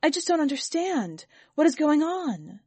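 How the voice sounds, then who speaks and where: A vain, pompous lord of a storybook mansion, acted out by a woman reader. The delivery is emphatic and indignant, with a quick temper under the grand airs. The sound is close and dry. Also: high voice, very animated, a little mellow, no echo, voice pushed up, exaggerated voice.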